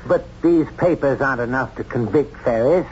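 Muffled 70s radio play, guy acting small town sheriff, plainspoken and kindly, medium pitch voice. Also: moderate pace, a little mellow, lightly acted. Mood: concerned. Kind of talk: 1970s radio drama acting. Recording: muffled, noisy old radio drama tape.

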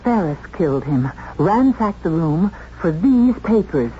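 An actress playing a shrewd, determined woman in a 1970s radio drama, with intense, deliberate delivery. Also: on the low side, big pitch swings. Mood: agitated, urgent. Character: determined, shrewd woman accused of murder, confronting the killer. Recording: muffled, noisy old radio drama tape.

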